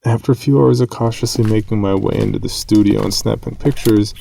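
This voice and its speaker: A man with a low voice and heavy creak narrates a horror tale. His delivery is grave and measured, breaking phrases with dramatic pauses. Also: mellow, a little hiss or hum. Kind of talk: scripted horror story narration.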